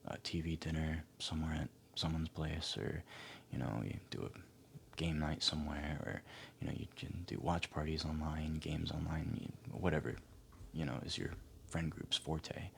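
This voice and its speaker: A man speaking softly, often near a whisper, in a gentle, hesitant, encouraging ASMR roleplay as an advisor talking to a client. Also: extremely low pitch, steady tone, moderate pace, creaky, slight background noise. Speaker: ASMR performer playing a financial advisor. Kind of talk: ASMR roleplay, soft-spoken one-sided client consultation.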